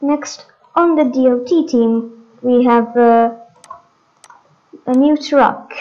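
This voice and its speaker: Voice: sits high, slow. A gaming host giving a casual walkthrough of game updates, hesitant and thinking aloud, on a dull lo-fi microphone.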